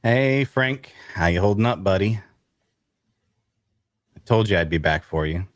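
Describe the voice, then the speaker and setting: A man's voice: lowish voice, animated, a little slow, no echo. A man playing a grumpy, evasive loner in a fantasy audio drama, speaking in a dry, curt, hesitant way. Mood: curt, reassuring. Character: guarded, grumpy, evasive loner male traveler. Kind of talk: dark fantasy audio drama table read.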